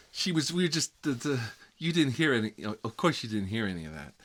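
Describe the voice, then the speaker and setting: A man's voice: fairly high pitch, wildly swooping pitch, moderate pace. A man playing a whiny, foul-mouthed grown son who lives with his mother, complaining and rambling in a comedy sketch. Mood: sheepish chatting. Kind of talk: improvised comedy roleplay sketch.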